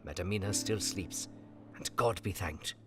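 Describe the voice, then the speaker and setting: Earnest aging professor, solemn and impassioned. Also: male voice, low-pitched. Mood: weary, grave. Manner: solemn, impassioned, halting old-fashioned English. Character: earnest aging Dutch professor and vampire hunter, God-fearing. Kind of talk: dramatic diary narration in an audio drama. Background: guitar music.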